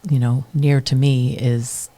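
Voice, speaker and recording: A woman's voice: rumbling low, flat delivery, measured pace, mellow. A middle-aged woman from New Hampshire, a committee chair, answering interview questions casually and thoughtfully, with many ums and restarts.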